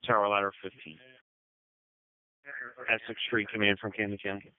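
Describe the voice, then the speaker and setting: A man's voice: on the low side, level tone, normal speed. A man working as a county fire dispatcher on two-way radio, reading back messages in a procedural way. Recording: phone-like narrowband radio transmission.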